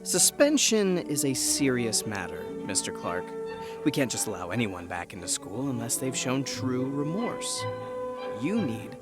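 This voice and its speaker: A man playing a jovial, unprofessional high school principal in a comedic audio drama, theatrical and mock-serious. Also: sing-song, measured pace. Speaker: voice actor. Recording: music behind the voice.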